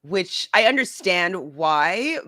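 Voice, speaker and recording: A woman's voice: fairly low pitch, sing-song, slow pace, pushed and bright, dry recording, clean studio sound. A woman coach chatting casually and earnestly about acting. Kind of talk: casual conversational chat.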